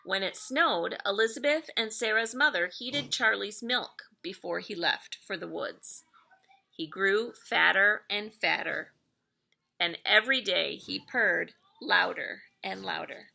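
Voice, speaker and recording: A middle-aged woman reading a children's story aloud in an expressive, deliberate storytelling manner. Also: mid-range voice, gravelly. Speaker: elementary school staff member reading aloud to pupils.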